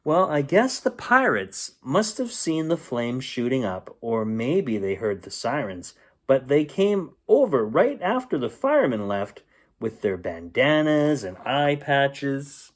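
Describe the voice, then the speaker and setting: Guy reading a kids picture book out loud, takes his time, really expressive swoopy pitch. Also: takes it slowly. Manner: expressive, playful storytelling. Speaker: bedtime story reader. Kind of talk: storybook read-aloud.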